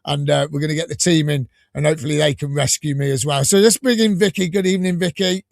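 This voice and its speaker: A man hosting a live fan podcast, enthusiastic and rambling, a little croaky from a cold. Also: a little high, fast talker, dry recording, studio quality. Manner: enthusiastic, rambling, laughs often. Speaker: podcast host.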